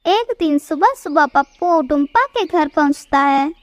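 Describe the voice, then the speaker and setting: Casting: woman storyteller for cartoon tales, very unhurried, high voice. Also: animated, dry recording. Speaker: narrator. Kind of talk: scripted cartoon story narration.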